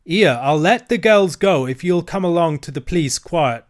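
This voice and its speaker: A man voicing a lean, scornful male horse dealer who talks in a rough, bullying dialect. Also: high-pitched, big pitch swings, moderate pace, pitched above the performer's own voice.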